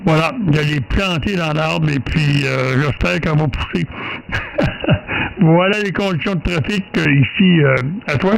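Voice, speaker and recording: Quebec male radio amateur, high and fry-laden voice, casual, hesitant, slight noise behind. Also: unhurried, rough recording. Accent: Quebec French. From Quebec, Canada. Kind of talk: casual radio contact chat.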